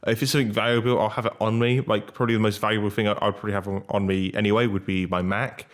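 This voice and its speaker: A man's voice: on the low side, little pitch movement, rapid-fire. A man co-hosting a podcast, talking in a casual, conversational style. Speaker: podcast co-host. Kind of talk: casual chat.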